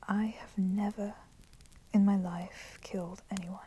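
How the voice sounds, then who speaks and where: Woman as a slow, whispering female vampire. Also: mid-range voice, level tone, lightly acted. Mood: bitter, proud. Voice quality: soft, breathy whispering. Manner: slow, deliberate, formal, menacing phrasing. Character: proud, resentful female vampire captor, coolly teasing. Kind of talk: ASMR roleplay, whispered. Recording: constant crackling fire noise behind voice. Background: crackling fire.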